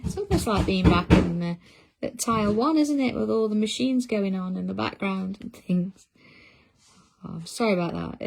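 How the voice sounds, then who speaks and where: A woman's voice: mid-range voice, creaky, background noise. A middle-aged woman, hosting a craft livestream in casual chat and answering viewer comments as she works. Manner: chatty, reads out viewer comments while working.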